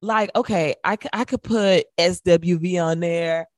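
A woman's voice: fairly low pitch, unhurried, no echo, studio quality. A young adult woman co-hosting a radio show, chatting casually about music in an emphatic, sassy, colloquial and opinionated way.

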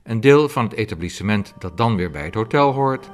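A man's voice: on the low side, expressive, fairly quick, over music. A man, hosting a podcast and narrating a scripted introduction. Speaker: podcast host and interviewer.

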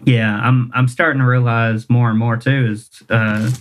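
A man's voice: mid-range voice, little pitch movement, normal speed. A man, a singer-songwriter chatting casually in an interview, rambling and self-deprecating with lots of fillers. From Kentucky, US.

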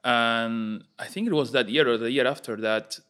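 A man's voice: mid-range voice, moderate pace, a bit bright, clear, resonant tone. A man from Argentina co-hosting a podcast, thoughtful and a little nervous, often restarting his sentences. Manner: thoughtful, slightly nervous, repeats words when starting. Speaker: co-host. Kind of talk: casual chat.

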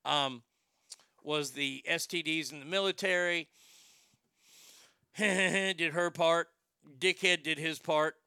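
A middle-aged man hosting a radio show, telling crude, brash stories in a high tenor voice. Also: slow pace, pauses often, smooth, no echo, studio quality. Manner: crude, brash, animated storytelling with profanity. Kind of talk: casual storytelling monologue.

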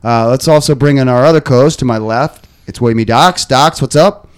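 Slightly hoarse man hosting a podcast. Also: mid-range voice, moderate pace. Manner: rambling, frequent fillers and restarts. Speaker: podcast host. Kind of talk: casual podcast chat. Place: podcast studio.

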